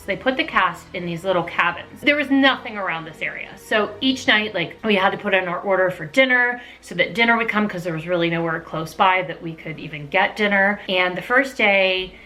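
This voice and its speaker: A middle-aged woman telling a personal story casually to camera, animated and gossipy, acting out the dialogue as she goes. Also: mid-range voice, normal speed, hardly any pauses, faint music behind, poor audio.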